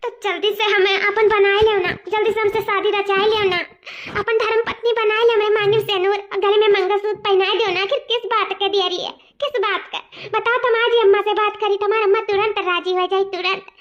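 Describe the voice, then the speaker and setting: A woman's voice: extremely high pitch, fairly even intonation, brisk, a bit bright. A woman voicing a lovestruck young female student in a cartoon comedy, pleading and repeating herself with an eastern Uttar Pradesh accent. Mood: urging. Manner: pleading, repetitive, emphatic. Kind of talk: cartoon family comedy acting. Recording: muffled, dull audio.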